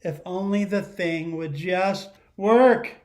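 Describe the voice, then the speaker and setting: A middle-aged man reads a picture book aloud as a teacher would. His voice sits high for a man and he takes his time. He tells the story expressively and pauses to put questions to his young listeners. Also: darkish tone. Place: living room at home.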